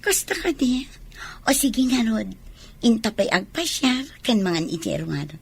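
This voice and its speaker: A woman's voice: on the high side, sing-song, measured pace, vocal fry, breathy edge, full character voice. A woman with a Filipino Ilocano accent playing a soldier's clingy sweetheart in a radio drama. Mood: coaxing.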